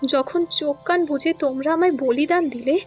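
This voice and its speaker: A woman's voice: sits high, normal speed, darkish tone, faint music behind, close to an everyday voice. An actress playing a young widowed daughter who answers her parents firmly and bitterly, in an audio drama. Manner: firm, resentful replies.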